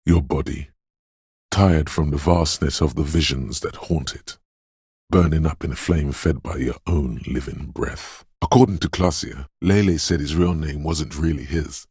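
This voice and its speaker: A man's voice: a little slow, a little mellow, no echo, studio quality, exaggerated voice. A man voicing a brooding, eerie inner voice of intuition in a video game, speaking intimately and poetically in a mournful, ominous, dreamlike way. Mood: eerie, musing. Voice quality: very deep, husky, gravelly whispery rumble. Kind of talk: video game voice acting, literary second-person narration.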